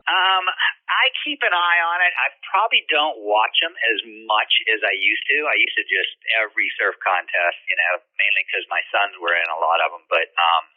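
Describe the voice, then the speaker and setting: An older man from the Bahamas with a lowish voice answers questions in a loose, rambling way, full of "you know" and restarts. The sound is thin and phone-like, with the low end cut away. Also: moderate pace. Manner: relaxed, rambling with fillers and restarts. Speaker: surfboard shaper. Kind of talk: casual interview answers. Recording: tinny phone-like line.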